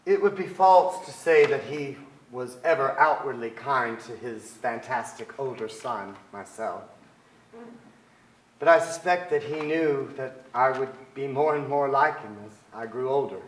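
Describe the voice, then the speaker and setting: Male reader, forties, reflective and measured, mid-range voice, theater echo. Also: mid-range voice, animated, slight background noise. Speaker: stage actor, reader. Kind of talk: dramatic staged literary reading. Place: on stage in a theater with an audience. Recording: echoey theater stage.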